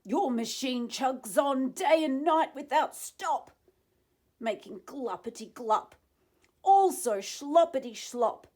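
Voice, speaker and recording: A woman's voice: high voice, expressive, takes it slowly, a little mellow, voice pushed up, exaggerated voice. A woman voicing an indignant male storybook creature who scolds in emphatic rhyme to defend nature. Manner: emphatic, rhyming accusations.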